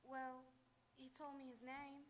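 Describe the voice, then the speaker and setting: A woman's voice: high voice, little pitch movement, a little slow, a little hiss or hum. An actress playing a timid, submissive young frontier woman in a live radio Western, with a pleading manner. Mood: pleading, meek. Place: auditorium stage, live radio theatre performance.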